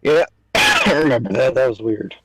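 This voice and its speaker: Animated man in dry, close-sounding casual chat. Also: medium pitch, moderate pace, husky, no echo, rough recording. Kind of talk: casual podcast chat about movies.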